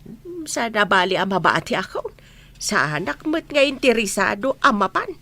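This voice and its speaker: A woman's voice: medium pitch, big pitch swings, normal speed, sharp tone, exaggerated voice. A woman with an Ilocano accent playing a caring motherly village elder in a radio comedy drama. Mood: reassuring, insistent.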